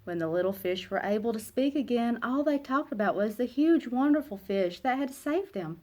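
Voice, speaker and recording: A woman's voice: a little high, lively intonation. Woman reading a children's storybook expressively. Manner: dramatic, with emphatic pauses and hushed phrase endings. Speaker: children's read-aloud narrator. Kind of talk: expressive storybook read-aloud.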